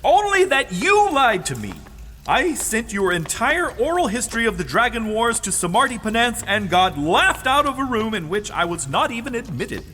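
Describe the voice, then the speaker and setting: Prim, high-voiced, pompous scholar. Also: male voice, very animated, moderate pace, sharp tone, slight background noise, exaggerated voice. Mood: indignant. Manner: formal, pompous, precise wording. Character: prim, scholarly, indignant male historian. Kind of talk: audio drama acting. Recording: reverberant hall with music behind.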